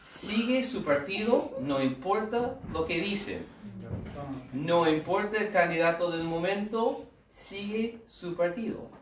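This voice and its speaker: A man's voice: sits high, expressive, takes it slowly, recorded from a distance, noisy background. American man preaching in a loose, humorous way; echoey room, off-mic. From United States. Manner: humorous, conversational, with asides and rhetorical questions. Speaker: pastor. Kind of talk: sermon.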